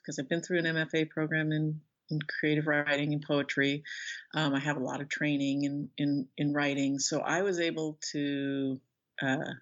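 A middle-aged American woman being interviewed as an author, speaking thoughtfully and haltingly as she searches for words. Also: low voice, dull audio. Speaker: interview guest, author and professor. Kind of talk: reflective interview conversation.

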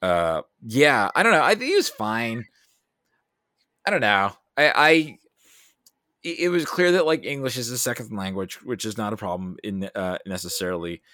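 A man with a fairly low voice chats about films as a podcast guest. He thinks aloud, rambling and stopping and starting as he goes. The sound is very dry, with no room to it. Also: lively intonation, moderate pace, sharp tone, no room sound. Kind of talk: casual film discussion.

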